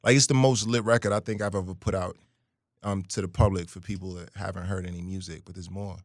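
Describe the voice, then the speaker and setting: A man's voice: low-pitched, fast, no echo, studio quality. A man from Brooklyn and Guyana, a musician guest telling stories in a casual interview. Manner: animated storyteller, hums melody lines.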